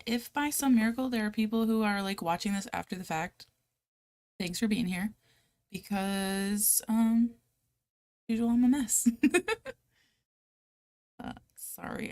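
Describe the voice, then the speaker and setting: A woman's voice: on the high side, unhurried, long pauses, a little mellow, no room sound. A woman with a Rhode Island accent hosting a casual live chat, talking in a chatty, self-deprecating, giggly and playfully dramatic way. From United States, Rhode Island. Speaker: live stream host of reading sprints.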